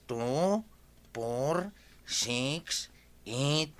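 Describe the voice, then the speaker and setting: A man playing a cheeky, teasing village tricycle driver in a comedy radio drama, pushy and quick to chuckle. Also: medium pitch, animated, fairly bright tone. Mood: cheeky, insistent. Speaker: actor in an Ilocano comedy drama.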